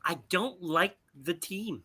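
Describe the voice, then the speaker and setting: A man co-hosting a live sports talk show, giving opinions in a deliberate way with pauses mid-sentence. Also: sits high, bright, forceful tone, husky.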